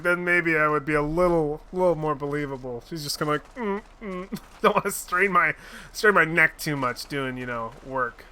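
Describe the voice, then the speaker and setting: A man's voice: a little high, sharp tone. A man streaming a game, chatting casually with goofy, laughing asides. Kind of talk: casual gameplay commentary.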